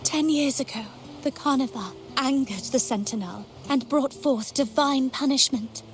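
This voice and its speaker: High-voiced, devout, fearful worshipper. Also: female voice, unhurried, a little hiss or hum. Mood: solemn, pious. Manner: reverent, solemn, theatrical. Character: devout, fearful female worshipper preaching repentance. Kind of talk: video game story dialogue acting.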